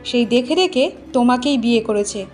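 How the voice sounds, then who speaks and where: Woman doing a mean scolding sister-in-law for a cartoon, sarcastic, highish voice, bit of music under. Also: expressive, normal speed, a little mellow, close to an everyday voice. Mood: scornful. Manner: taunting, sarcastic, disapproving. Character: spiteful, scolding sister-in-law in a village family. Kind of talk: Bengali moral cartoon voice acting.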